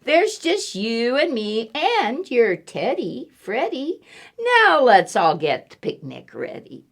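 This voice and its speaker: A woman reading aloud, voicing a mother who briskly brushes off her child's fears. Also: on the high side, huge pitch swings, heavily acted. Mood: soothing. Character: calm, dismissive mother.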